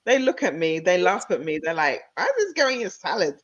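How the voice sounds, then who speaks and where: A woman hosts a relaxed online interview, chatty and quick to laugh. Her words come padded with fillers and restarts. The sound is dull and dry, like a video call. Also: lowish voice, no room sound. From United Kingdom. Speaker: host. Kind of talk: casual interview conversation.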